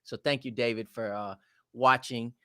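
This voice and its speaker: A relaxed man hosting a live chat over a video call, easygoing and encouraging. His voice is bright and forward. The sound is dry and close. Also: mid-range voice, moderate pace, smooth, no echo. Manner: relaxed, encouraging listener with frequent agreement. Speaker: host.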